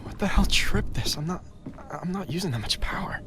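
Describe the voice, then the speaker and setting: A man's voice: sits high, animated, brisk, over loud music. An actor playing a bewildered, irritable young man in a horror video game, sarcastic and exasperated. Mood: uneasy, irritated.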